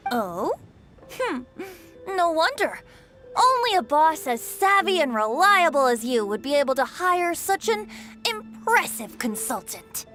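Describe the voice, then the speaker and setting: A woman voicing a carefree young male bard in a video game, airy and flowery in speech, quick to giggle. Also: high-pitched, very animated, a bit bright, faint music behind, exaggerated voice. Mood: playful.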